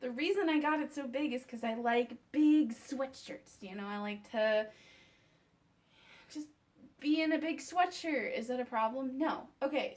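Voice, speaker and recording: A woman vlogger giving a casual, unscripted review to camera, candid and wry, with conversational asides. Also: a little high.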